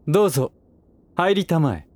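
A man's voice: on the high side, sing-song, moderate pace, darkish tone. An actor playing a smug, condescending aristocratic businessman in a Japanese voice drama, with languid, lordly, teasing phrasing. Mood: breezy, teasing.

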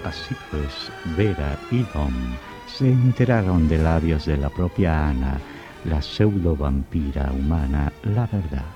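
A man playing an ominous storyteller in a radio horror drama, narrating in a suspenseful, deliberate and dramatic way. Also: rumbling low, animated. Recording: dull lo-fi radio recording with music.

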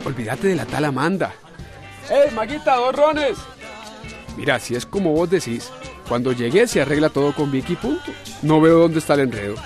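An easygoing Costa Rican bar pal in a radio soap. His pitch moves widely as he casually placates, with music behind. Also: big pitch swings, normal speed, a little mellow. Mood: placating, breezy. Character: easygoing male drinking buddy soothing his friend. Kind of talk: radio drama acting.

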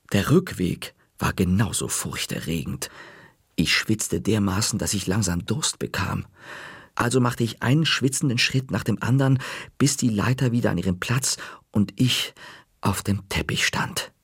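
Man, storybook narrator, calm storytelling, quiet whispers, low voice, gravelly. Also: no echo, clean studio sound. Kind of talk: scripted narration of a children's story.

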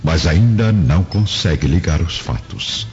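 A man's voice: deep voice, animated, unhurried. A man giving dramatic scripted narration for a radio drama. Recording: muffled, music behind the voice.

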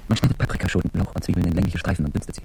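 A man's voice: low voice, very fast, creaky. An actor playing a coolly polite, determined Chinese army horseman, giving precise, courteous instruction in a radio drama with background noise.

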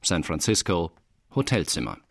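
Terse announcer, deep voice, studio-dry, factual, scripted radio crime drama. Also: male voice, big pitch swings, normal speed, creaky, a bit bright, no echo, full character voice. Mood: matter-of-fact. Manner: clipped, factual. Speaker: narrator announcing title, dates and places.

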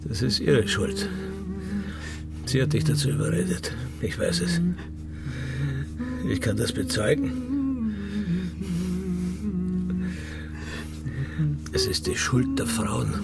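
Gravelly, distraught old father. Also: on the high side, wildly swooping pitch, over loud music, heavily acted. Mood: urgent conspiratorial. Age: older. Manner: halting, breathy drops into whispering. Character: distraught elderly father, pleading, self-pitying and bitter. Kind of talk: radio crime drama acting, monologue and dialogue.

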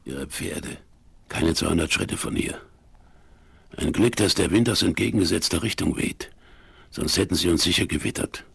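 An actor playing a gruff, hot-tempered old Wild West frontiersman in a 1970s German radio play, with colourful, folksy cursing. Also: deep voice, moderate pace, a bit bright, full character voice. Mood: sly, hushed. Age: older. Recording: vinyl transfer with background noise.

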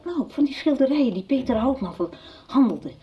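A woman's voice: animated, moderate pace. An actress playing a sober woman who asks pointed, probing questions and brings shocking news in a radio soap. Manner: pointed, probing questions, often quiet and low. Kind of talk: 1990s radio soap acting. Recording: dull lo-fi radio recording.

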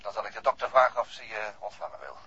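Brusque, husky henchman on the telephone. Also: fairly low pitch, animated, fast, sharp tone, heavily acted. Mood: curt, suspicious. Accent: Netherlands Dutch. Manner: brusque, wary. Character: curt, suspicious male crook's henchman. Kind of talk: 1960s Dutch radio play acting. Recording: muffled telephone voice, old radio play.

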